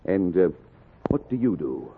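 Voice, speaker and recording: A man's voice: low voice, sing-song, measured pace. A man playing a stern, haughty British naval captain issuing threats in a historical radio drama. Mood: menacing. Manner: haughty, menacing. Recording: phone-like old recording with faint noise.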